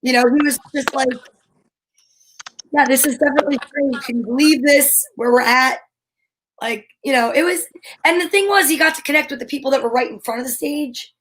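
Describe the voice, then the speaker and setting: A woman talk show host chatting casually, telling stories in an animated, rambling way. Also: high voice, fairly quick, poor audio. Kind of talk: casual chat.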